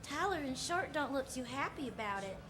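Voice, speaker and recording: A woman's voice: high voice, expressive, a little fast, a bit bright, faint music behind. An actress playing a kindly, encouraging frontier woman in a western radio drama. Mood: disapproving.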